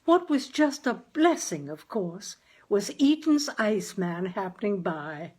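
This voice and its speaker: Storybook mother, voiced by a woman reader: folksy, earnest, chatty, husky, very animated delivery. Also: mid-range voice, measured pace. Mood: chatty self-justifying. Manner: folksy rural turns of phrase. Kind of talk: audiobook character dialogue.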